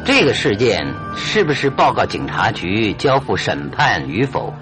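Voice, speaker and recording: Confident detective cornering a crook, radio play, big swingy intonation, bit of music behind. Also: male voice, lowish voice, wildly swooping pitch, exaggerated voice. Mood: commanding, explaining. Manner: deliberate, commanding, theatrical. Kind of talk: radio drama acting. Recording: radio drama with music underneath.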